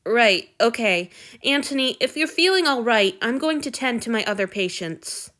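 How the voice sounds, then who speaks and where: A woman voicing a stern, brisk woman healer who talks in a matter-of-fact way, in a storybook reading. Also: fairly high pitch, animated, fairly bright tone.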